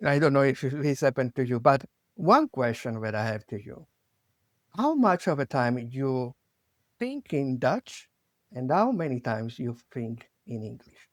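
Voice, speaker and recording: A man from Italy with an Italian accent, hosting an interview in a halting way, searching for words with many fillers and restarts. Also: medium pitch, big pitch swings, dry recording, clean studio sound. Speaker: podcast host.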